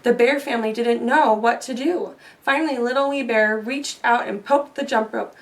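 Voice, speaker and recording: A woman's voice: a little high, normal speed, distant mic. A woman reading a children's story aloud with little asides, recorded a bit away from the mic. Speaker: storybook reader.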